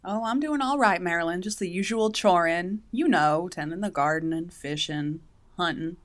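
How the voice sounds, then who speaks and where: A woman's voice: on the low side, normal speed, lightly acted. A woman playing a blunt, self-reliant backwoods daughter with a rural Southern US accent in a radio drama. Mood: matter-of-fact.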